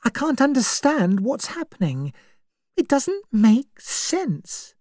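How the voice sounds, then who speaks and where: A woman's voice: high voice, sing-song, takes it slowly, mellow, no echo, clean studio sound, pitched above the performer's own voice, exaggerated voice. A woman voicing a frightened, uneasy young woman. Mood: nervous. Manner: nervous, uneasy.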